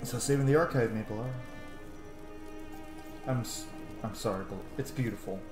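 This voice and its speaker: A man's voice: medium pitch, moderate pace. A man hosting a let's play with casual, chatty commentary, thinking and reacting aloud. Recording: roomy echo, game music behind.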